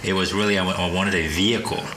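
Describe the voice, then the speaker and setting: A man's voice: deep voice, steady tone, fast. A middle-aged man from California, a business owner answering interview questions, hesitant, with many fillers and restarts. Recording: studio with clear background noise.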